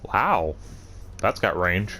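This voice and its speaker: A man's voice: deep voice, very animated, drawn-out words, bright, forceful tone, slight background noise. A man hosting a gaming video with casual let's play commentary.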